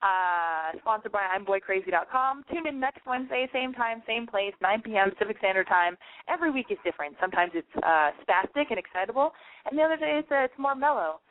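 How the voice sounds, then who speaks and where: A woman's voice: mid-range voice, animated, a little fast. A woman hosting a late-night call-in advice radio show, blunt and gushing, swearing freely. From German family. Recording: tinny phone-like audio.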